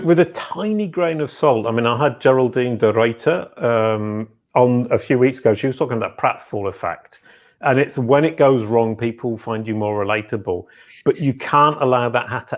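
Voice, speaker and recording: Middle-aged man, interview host, excitable and interrupting, repeats words. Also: medium pitch, normal speed. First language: English. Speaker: podcast host. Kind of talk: casual interview chat.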